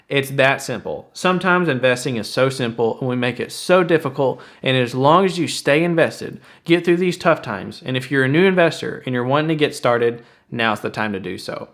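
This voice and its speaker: A man's voice: medium pitch, fairly bright tone. Young man explaining casually to viewers. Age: 20s. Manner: plain-spoken, casual, emphatic repetition. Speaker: finance video host. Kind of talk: explanatory investing commentary to viewers.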